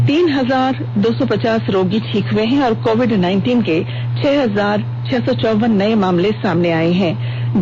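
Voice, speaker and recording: A woman reading a scripted radio news bulletin in a formal, measured manner. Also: on the high side. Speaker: news reader. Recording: muffled radio broadcast with hum and noise.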